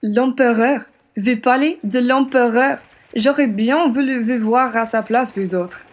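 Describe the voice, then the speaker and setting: A woman's voice: on the high side, measured pace, natural voice. A young woman acting a spirited, plain-spoken French patriot woman in a radio play. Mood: outraged. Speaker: student actor.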